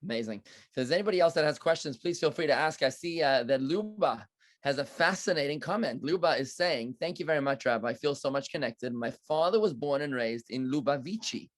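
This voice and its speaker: A man's voice: fairly high pitch, animated, brisk, dry recording. A man, a rabbi, hosting a Q&A on a video call in an upbeat, encouraging way. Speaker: rabbi, event host.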